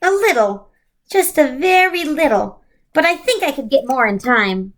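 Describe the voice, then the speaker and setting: A woman's voice: high-pitched, lively intonation, measured pace, a bit bright, voice pushed up. A woman voicing an earnest, intense little boy in a children's story read aloud. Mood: concentrating.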